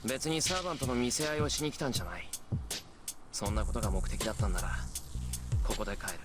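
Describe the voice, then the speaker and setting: Man acting very fast, firm, serious teenage boy. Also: fairly low pitch, steady tone, lightly acted. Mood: firm refusal. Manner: firm, blunt. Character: serious, guarded, stubborn teenage novice magus schoolboy. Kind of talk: Japanese visual novel voice acting. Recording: loud music under the voice.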